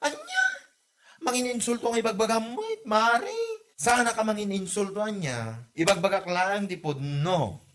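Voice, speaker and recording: High-pitched Ilocano man playing a scolding villager. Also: sing-song, moderate pace, a bit bright. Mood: scolding, mocking. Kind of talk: comedy audio drama acting.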